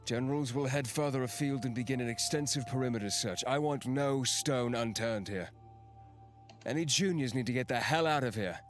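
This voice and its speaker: A man playing an exhausted, stern fantasy general, speaking in a measured, curt, commanding way in an acted audio drama. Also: mid-range voice, faint music behind, close to an everyday voice. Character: exhausted, stern non-binary fantasy general giving orders.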